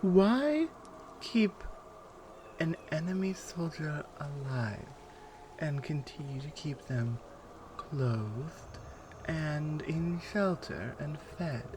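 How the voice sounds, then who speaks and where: A woman playing a proud, stern Amazonian warrior in an ASMR audio roleplay, speaking to a prisoner in a measured, commanding way with deliberate pauses. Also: low-pitched, expressive, background noise, exaggerated voice. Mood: cold, menacing.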